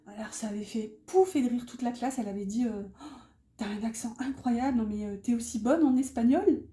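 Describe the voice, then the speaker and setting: A woman's voice: on the high side, dark tone. A woman giving a casual, improvised tarot reading to viewers, chatty and often searching for her words. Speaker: tarot reader hosting an online guidance. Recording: roomy, echoey sound.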